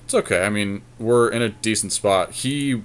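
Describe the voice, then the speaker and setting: Low-pitched man talking through a card game. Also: moderate pace, smooth. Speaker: podcast host and player. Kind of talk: casual co-commentary on a card game being played.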